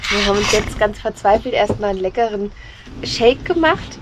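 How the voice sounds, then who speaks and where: A woman's voice: medium pitch, animated, moderate pace. A woman chatting casually in a travel vlog, wry and teasing, talking in a camper van. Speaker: camping vlogger. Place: inside a camper van, in the car earlier. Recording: noisy car and camper sound.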